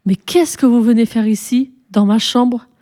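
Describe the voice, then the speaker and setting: A woman's voice: a little high, normal speed, dark tone, close to an everyday voice. Woman voicing a cheeky, grumbling schoolboy. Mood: curious. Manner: blunt, childish, exclamatory. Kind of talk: storybook reading, character dialogue.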